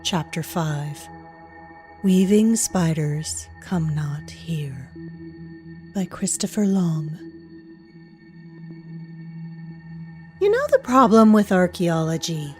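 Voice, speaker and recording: A woman playing a knowing, darkly wry storyteller, narrating an audio drama in a measured, ominous way and addressing the listener directly. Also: fairly low pitch, very animated, darkish tone. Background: music.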